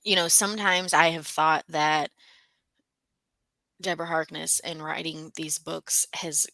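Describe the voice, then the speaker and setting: A woman's voice: deep voice, level tone, a little slow, pushed and bright, no echo. A woman co-hosting a casual book discussion, talking conversationally and thinking aloud as she goes.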